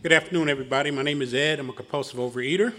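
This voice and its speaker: A man's voice: a little high, rapid-fire, crowd noise, noisy background. Philadelphia man sharing his recovery story. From Philadelphia, Pennsylvania. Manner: folksy, self-deprecating, chuckling often. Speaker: guest speaker at a recovery meeting. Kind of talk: personal testimony speech. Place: meeting room before an audience.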